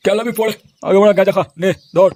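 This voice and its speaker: A man's voice: high-pitched, steady tone, fast talker, close to an everyday voice. A man voicing a crude, menacing gang boss in a comic cartoon, barking orders on a noisy recording. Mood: bossy. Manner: ordering, threatening.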